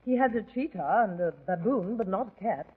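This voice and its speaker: An actress playing an anxious, polite young gentlewoman, earnest and deferential, in 1940s radio drama style. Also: mid-range voice, animated, fairly quick, breathy edge. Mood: polite, puzzled. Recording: tinny, reverberant 1940s radio recording.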